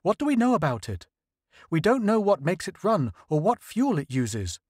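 A man's voice: sits high, wildly swooping pitch, moderate pace, slightly rough, no room sound, studio quality, voice pushed up, exaggerated voice. A man voicing a nervous, eager male doctor in a story reading.

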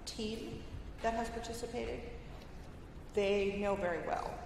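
A woman's voice: mid-range voice, slow pace, vocal fry. A woman on a conference panel speaking plainly and encouragingly about mentoring, in a large hall. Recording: echoey hall recording with light noise.

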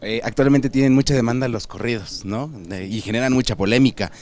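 A man's voice: medium pitch, steady tone, a little fast, a little mellow. A young Mexican man interviewing a guest at an indoor expo booth with a handheld mic, asking friendly, slangy questions. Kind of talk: casual entertainment interview.

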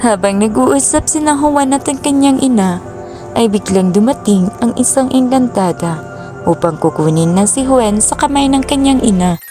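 A woman narrating a cartoon folk tale in a plain, steady storytelling manner. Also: fairly high pitch, normal speed, over music.

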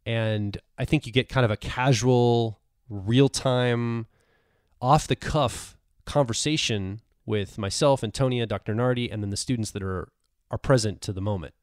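A man's voice: mid-range voice, moderate pace, dry recording, studio quality. A male podcast co-host talking casually and explaining things, a bit tired after a long week.

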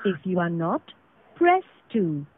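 A woman with an Indian accent reading formal, scripted automated phone prompts in a measured way over a phone line. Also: on the low side, very animated, a little hiss or hum. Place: recorded phone call. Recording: tinny narrow phone line recording.

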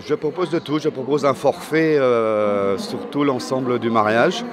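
A man working as a wedding DJ, answering hesitantly and softly with many fillers. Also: medium pitch, a little slow, a crowd in the background. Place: indoor exhibition hall. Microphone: handheld mic.

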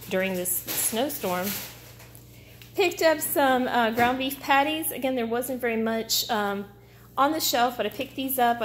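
A woman's voice: on the high side, a little hiss or hum. A middle-aged woman casually chatting through a grocery haul at her kitchen table, rambling with fillers as she goes. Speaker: vlogger. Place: home kitchen table, indoors.

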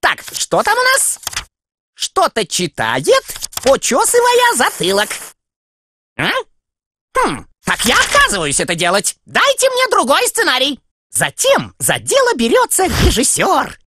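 A woman voicing a small, excitable male cartoon rabbit who cheerfully explains things to children in an expressive, theatrical way. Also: sits high, a little slow, a little hiss or hum, heavily acted. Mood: playful, indignant.

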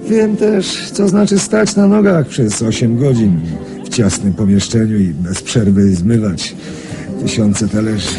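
Casting: a genial rich emigrant for radio drama, hearty braggart with an expansive manner, music underneath. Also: male voice, lowish voice, lively intonation, a little slow. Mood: boastful, reflective. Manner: hearty, chuckling, hesitant fillers, expansive. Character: jovial boastful Polish emigrant man, self-made rich swindler. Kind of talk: 1980s Polish radio drama acting.

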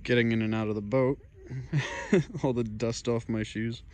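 A man in his thirties casually vlogging his sailboat restoration, chatty and relaxed, swearing and laughing to himself while holding the camera at arm's length. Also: medium pitch, a little slow. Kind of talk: casual self-filmed vlog narration. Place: inside a small boat cabin and outdoors in a yard. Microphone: handheld action camera at arm's length.

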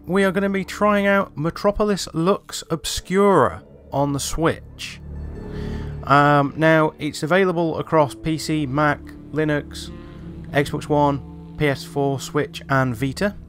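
A man's voice: on the high side, steady tone, unhurried, a bit bright. A man hosting a gameplay video, commenting and reading aloud in voice-over as a reviewer. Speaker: video game reviewer and host. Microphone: voice-over recorded over gameplay. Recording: music behind the voice.

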